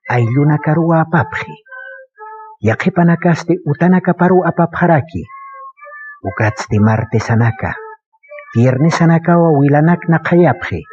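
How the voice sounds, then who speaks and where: A man delivering scripted narration for a radio drama. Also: medium pitch. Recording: dull lo-fi radio broadcast, music behind. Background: music.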